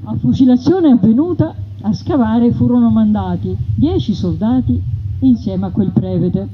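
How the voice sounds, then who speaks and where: A woman's voice: fairly high pitch, normal speed, noisy background. A woman narrating a theatrical story in a lilting, dramatic way. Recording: dull radio broadcast with music.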